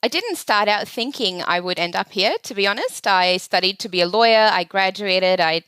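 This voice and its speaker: A woman's voice: medium pitch, lively intonation, normal speed, fairly bright tone, smooth, dry recording. A woman from Sri Lanka in her thirties, answering interview questions in an earnest, articulate, explanatory way. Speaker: podcast guest, charity chief executive.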